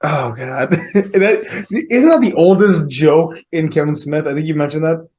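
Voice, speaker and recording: A man's voice: a little high, measured pace, over music. A man co-hosting a podcast, teasing and laughing, swearing freely in casual chat. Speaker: podcast co-host.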